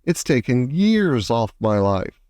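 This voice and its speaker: A man's voice: mid-range voice, very animated, takes it slowly, a little mellow, no room sound, clean studio sound. Man voicing a genteel, fretful lady ladybird. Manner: murmuring, fretful. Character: polite, anxious, genteel female ladybird.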